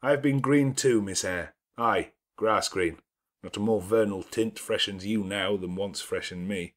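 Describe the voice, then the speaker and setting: A brooding, middle-aged gentleman played by a male narrator from a classic novel audiobook. His speech is grand, emphatic and sardonic, with a domineering, teasing edge and a slightly husky tone. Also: on the low side, measured pace. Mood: bitter, sardonic.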